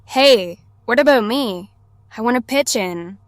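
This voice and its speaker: A woman's voice: fairly high pitch, animated, a little slow, no echo, studio quality. A woman acting in an audio drama as a mysterious, earnest maiden and fearless ally with cryptic, formal phrasing. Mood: eager.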